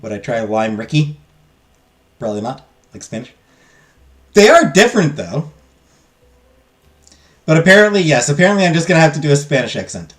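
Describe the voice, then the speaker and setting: Casual gaming host, a man with a slightly high, very animated voice who jokes and swears with viewers. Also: a little fast, pauses often. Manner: joking, swears, talks to viewers. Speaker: let's play host.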